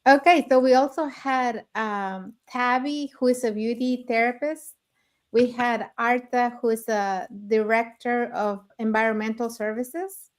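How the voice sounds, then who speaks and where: A woman from Mexico co-hosting a live-stream chat, briskly listing names and roles. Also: on the high side, steady tone. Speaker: co-host.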